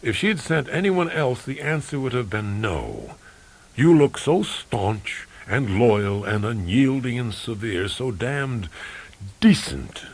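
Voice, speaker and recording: A man's voice: mid-range voice, big pitch swings, slow pace, voice pushed up, exaggerated voice. A man playing a blunt, wry, middle-aged male investigator in an audiobook story. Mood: intense, earnest.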